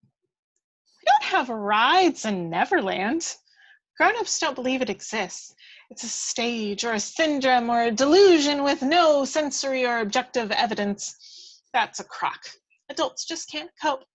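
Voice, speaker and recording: A woman's voice: high-pitched, animated, a little slow, voice pushed up, low-quality recording. A woman playing a tiny, feisty, bossy fairy with a scornful, theatrical delivery in a script read-through. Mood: coaxing, impatient. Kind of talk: hobby table read acting.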